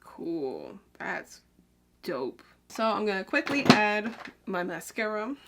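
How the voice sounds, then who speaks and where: A young woman chatting casually while she does her makeup, rambling with lots of "like" and "um" and drifting quieter as she works. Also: medium pitch, sing-song, takes it slowly, background noise. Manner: talks while applying makeup, drifts quieter then brighter. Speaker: beauty vlogger. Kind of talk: casual get-ready-with-me makeup chat.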